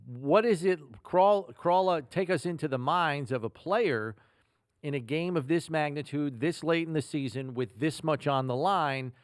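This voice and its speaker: American sports podcast host, dry close sound. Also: male voice, on the high side, unhurried, smooth, dry recording. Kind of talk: sports talk, questions and analysis.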